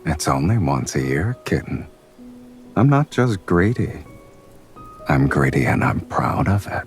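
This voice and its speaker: An actor playing a proud, teasing and quietly affectionate crime boss in a romance game, speaking unhurriedly over guitar music. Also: male voice, extremely low pitch, very animated, a little slow, exaggerated voice.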